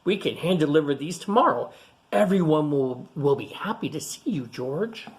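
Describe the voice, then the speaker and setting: A kindly storybook guardian read out by a storytime reader, encouraging and full of exclamation. The man's voice is animated and swings up and down as he talks to his little companion. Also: medium pitch, normal speed, lightly acted. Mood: pleased, encouraging. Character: kindly man, gentle friend and guardian of a monkey.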